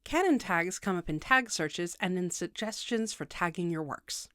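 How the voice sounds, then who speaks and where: A woman's voice: fairly low pitch, expressive, normal speed, fairly bright tone, dry recording. Woman narrating a tutorial, dry close sound. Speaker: video tutorial host. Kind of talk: scripted explainer narration.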